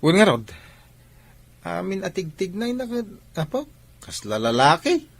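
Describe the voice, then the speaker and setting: An actor with a Filipino Ilocano accent playing a mocking friend in a family radio drama. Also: male voice, high-pitched, very animated, unhurried, vocal fry, exaggerated voice.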